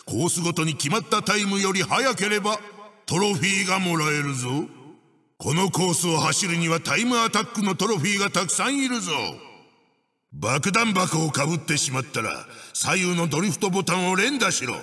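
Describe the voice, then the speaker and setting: High, bright, bossy evil mask spirit. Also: male voice, expressive, brisk, long reverb tail, full character voice. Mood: instructing, bossy. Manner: commanding, archaic pompous phrasing. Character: evil wooden witch-doctor mask spirit, bossy and menacing. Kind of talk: video game character voice-over, giving racing instructions.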